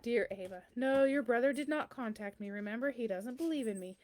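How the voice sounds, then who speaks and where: A woman's voice: fairly high pitch, fairly quick, a little mellow, a little hiss or hum, lightly acted. A woman voicing a kindly, very old man who answers a child's letters in a storybook, reassuring and explanatory. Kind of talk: children's storybook read-aloud.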